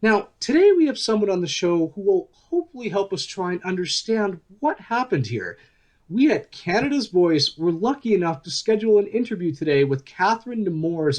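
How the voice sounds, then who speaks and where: A probing news podcast host for a radio play. His fairly high voice swings with lively intonation, and he presents in a polished, earnest, deliberate style.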